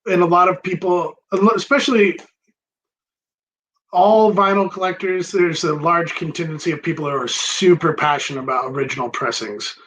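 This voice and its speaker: A man hosting a casual chat about records, relaxed and rambling with many pauses and ums. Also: fairly high pitch, low-quality recording. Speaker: record store host.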